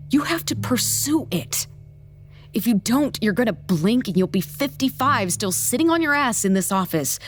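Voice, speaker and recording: An actor playing a jaded, blunt woman in an audio drama, candid and sardonic, giving halting tough-love advice. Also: medium pitch, close to an everyday voice. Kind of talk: naturalistic audio drama dialogue.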